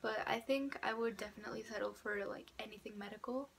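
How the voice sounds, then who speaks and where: A woman's voice: on the high side, fairly even intonation, fast, gravelly. An eighteen-year-old woman chatting casually to camera about herself in a small room, stuffed up from a cold, chatty and a little sarcastic. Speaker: vlogger introducing herself. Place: small indoor room by a door. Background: passing cars.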